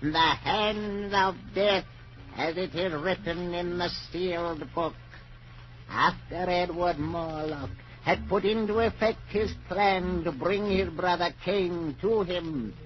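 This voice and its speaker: An actor narrating a 1940s radio horror tale as an ominous storyteller, measured and portentous. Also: male voice, high-pitched, slight background noise. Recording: muffled 1940s radio recording, loud music.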